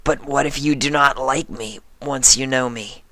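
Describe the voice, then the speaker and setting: A woman's voice: a bass voice, moderate pace, bright, forceful tone, dry recording, pitched above the performer's own voice, exaggerated voice. A woman voicing a shapeshifting creature that mimics a female cartoon pony in a story reading, uncertain and eager to please.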